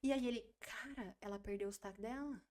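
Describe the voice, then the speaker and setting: A woman in her twenties, a podcast guest chatting casually and telling stories with lively repeats. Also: a little high. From Brazil. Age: 20s. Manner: chatty, animated storytelling with quick repeats. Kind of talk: casual interview chat.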